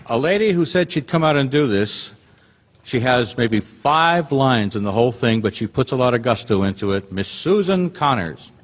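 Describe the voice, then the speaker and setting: A man's voice: medium pitch, expressive, moderate pace, some chatter behind. Chatty man emceeing in a theater. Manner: chatty, joking, ad-libbing. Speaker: stage emcee introducing the cast. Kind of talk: live theater cast introductions. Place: theater stage before a live audience. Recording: long hall reverb, loud audience and noise. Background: audience noise and laughter.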